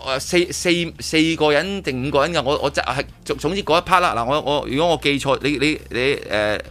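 A man's voice: on the high side, fairly quick, a bit bright. A man with a Hong Kong Cantonese accent hosting casual radio chat, often repeating words and restarting as he reviews a performance. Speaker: radio host. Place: radio studio.

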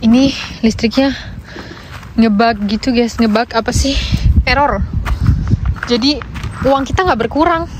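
Young woman, Indonesian accent, high voice, travel vlogger, casual chat. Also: moderate pace, pauses often, background noise. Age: young adult. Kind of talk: casual chat to camera and with people met on the road.

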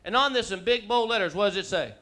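A man's voice: high voice, animated, quick pace, pushed and bright. A man in his thirties from Texas with a Texan accent, performing a stand-up comedy routine on a theater stage, drawling through stories and slipping into silly voices. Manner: drawling storyteller, slips into silly character voices. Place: theater stage before a live audience. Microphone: handheld microphone. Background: audience laughter.

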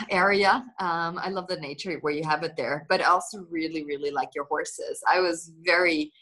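A woman in her thirties from Germany chats casually on a video call from her living room, animated and giggly, with thoughts that trail off. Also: fairly low pitch, normal speed. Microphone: video call, computer microphone.

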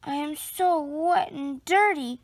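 A woman reading a children's picture book aloud expressively, with deliberate phrasing and dramatic pauses. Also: sits high, dry recording. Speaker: storybook reader.

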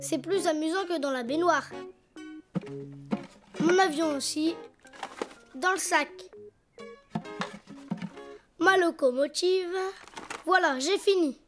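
Small cartoon donkey boy, very high-pitched, childlike, imaginative, proud of himself. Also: slow pace, pauses often. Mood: cheerful, busy. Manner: chirpy, childlike, boastful. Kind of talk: French children's cartoon acting. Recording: faint music behind.

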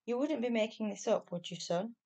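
A woman's voice: medium pitch, normal speed, vocal fry, darkish tone. A woman voicing a stern but kindly village policeman with colloquial speech, in a children's storybook reading. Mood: stern, questioning. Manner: colloquial, working-class grammar.

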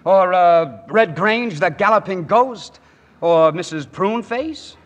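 An actor playing a cocky, friendly young American soldier in a 1940s radio play, breezy and wisecracking, with an American accent. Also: male voice, high voice, expressive, takes it slowly, lo-fi sound, background noise. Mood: brash.

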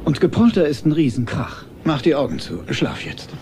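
A man's voice: a little high, expressive, moderate pace, darkish tone, a little hiss or hum. An actor playing a flustered father of a young boy, hesitant and stammering in his explanations, in dubbed film dialogue. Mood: flustered, patient.

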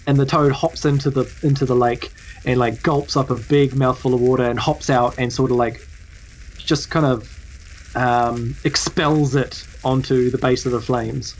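A woman's voice: rumbling low, fairly even intonation, moderate pace, a little mellow, a little hiss or hum, poor audio. A woman with a New Zealand accent improvising casual narration as game master, often searching for her words.

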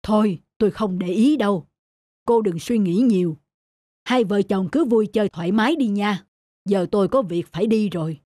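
Man acting calm, modest older man in a cartoon. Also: sits high, no room sound, studio quality, lightly acted. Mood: reassuring. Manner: measured, firm, unassuming. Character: calm, modest older male resort owner picking up litter. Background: faint music.

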